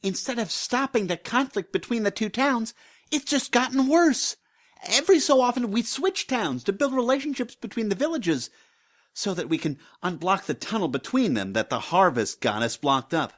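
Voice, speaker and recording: A woman's voice: medium pitch, lively intonation, normal speed, natural voice. Woman voicing a sheepish young farm lad. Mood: worried. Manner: hesitant, sheepish. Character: hapless, apologetic young man, village farmer and ladies' man. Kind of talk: hobby radio play acting.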